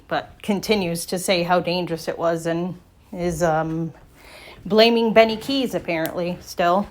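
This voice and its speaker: Woman doing casual reaction commentary, lowish voice, kinda halting and skeptical. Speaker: commentary channel creator.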